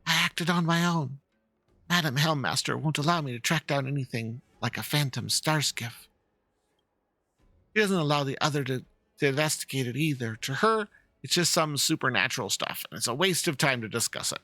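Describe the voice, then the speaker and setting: A man voicing an earnest, worried young fox-girl in halting, polite speech, reading out video game dialogue. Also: mid-range voice, sharp tone, no room sound. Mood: eager, disappointed.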